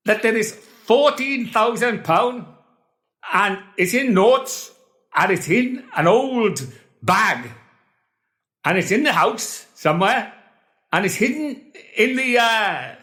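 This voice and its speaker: A man's voice: high voice, animated, slow pace, long pauses, sharp tone, full character voice. A man doing Marvello, a bluffing Welsh stage medium. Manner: chatty, bluffing showman addressing the audience. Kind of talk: comedy sketch monologue.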